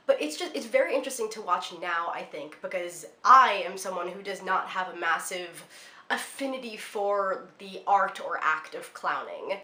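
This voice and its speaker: A woman's voice: mid-range voice, bright, forceful tone, reverberant. Chatty young woman with a cold. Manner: chatty, lots of "like" and "um" fillers. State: congested from a cold. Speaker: film vlogger. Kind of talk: casual film recommendations to camera.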